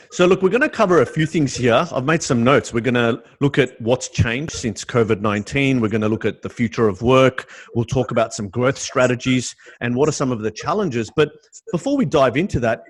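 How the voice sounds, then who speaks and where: A man's voice: medium pitch, husky. A middle-aged man hosting an interview in a casual, upbeat and enthusiastic way. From Sydney, Australia. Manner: upbeat, enthusiastic, friendly questioning. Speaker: interview host.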